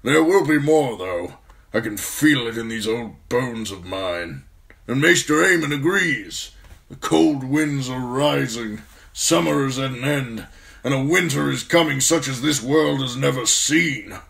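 Loud, grumbling old lord commander. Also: male voice, mid-range voice, lively intonation, a little slow, gravelly, voice pushed up. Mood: grim. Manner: grumbling, blunt, weary disgust.

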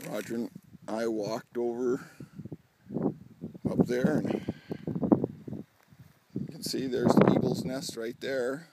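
A man's voice: mid-range voice, fairly even intonation, takes it slowly, long pauses. A man narrating his own home video casually, in a laid-back, understated way, outdoors on a handheld camera. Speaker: amateur sailor filming home videos. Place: outdoors on a sailboat and lakeshore. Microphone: handheld camera microphone. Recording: wind-battered handheld camera, boat noise.

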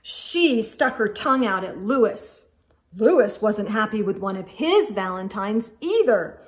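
A middle-aged woman reads a picture book aloud for children, expressive and carefully phrased, as a storytime host. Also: medium pitch. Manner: deliberate, clearly phrased delivery aimed at young children. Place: indoor room with bookshelves.

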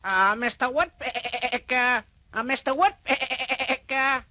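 An earnest, meek cartoon goat bleats in a high, piercing voice. He speaks slowly and hesitantly, recorded close and dry. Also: pushed and bright, no echo, full character voice. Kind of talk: children's record cartoon acting.

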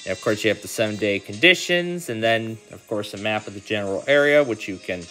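A man's voice: fairly low pitch, expressive, a little fast, pushed and bright. Man casually demonstrating, music behind him. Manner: thinks aloud while doing steps. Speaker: tutorial host. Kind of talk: casual hands-on app demonstration.

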